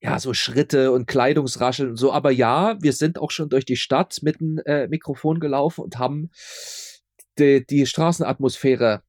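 A man's voice: medium pitch, moderate pace, darkish tone, no room sound, clean studio sound. A man chatting casually as an interview guest, stammering over repeated words and tossing in ironic asides. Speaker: interview guest, audio drama producer.

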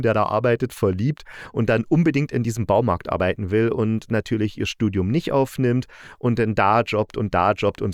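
A man's voice: mid-range voice, no echo, clean studio sound. A man giving a casual, personal spoken review in an enthusiastic, reflective and conversational manner. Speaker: audio drama reviewer.

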